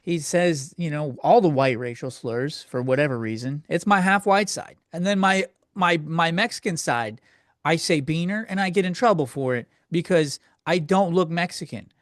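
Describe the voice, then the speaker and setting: Guy doing a solo comedy podcast rant, sweary and rambly, voice a bit on the high side, dry close sound. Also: moderate pace, no echo. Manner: crude, profane, self-deprecating; rambling, conversational. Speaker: podcast host. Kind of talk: casual comedic solo rant, answering listener questions.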